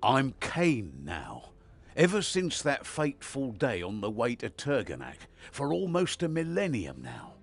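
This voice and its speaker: An actor playing an earnest, remorseful ancient warrior in a fantasy video game, with a creaky voice. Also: male voice, medium pitch, huge pitch swings, a little slow, full character voice. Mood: regretful.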